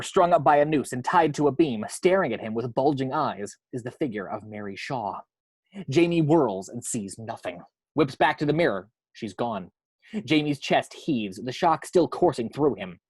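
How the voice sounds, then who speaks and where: A man narrating the action lines of a screenplay at a table read, in a measured, descriptive way with the odd stumble. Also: medium pitch, breathy edge, dry recording.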